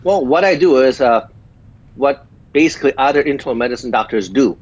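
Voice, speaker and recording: A man in his fifties from South Korea with a small Korean accent, speaking in halting sentences full of filler pauses as a guest doctor in a remote interview. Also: medium pitch, animated, husky. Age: 50s. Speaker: guest physician. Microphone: video call. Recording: dull lo-fi video call audio.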